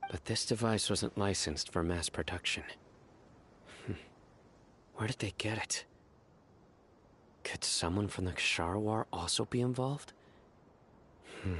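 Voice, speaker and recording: A man's voice: deep voice, fairly bright tone, a little hiss or hum. An actor playing a stern, stoic young male desert warrior who speaks tersely and seriously in a video game.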